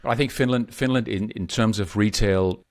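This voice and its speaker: A man, a podcast guest, who talks in a halting way with many restarts. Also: lowish voice, darkish tone, no room sound, studio quality. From Denmark. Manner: hesitant, many restarts and fillers. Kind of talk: conversational interview.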